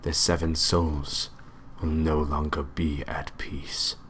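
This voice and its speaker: A man voicing a scary, menacing spectral scientist from a video game, drawn-out and hushed. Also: little pitch movement, husky, exaggerated voice. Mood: hushed menace. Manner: low, drawn-out, hushed ominous delivery. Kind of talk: video game villain monologue.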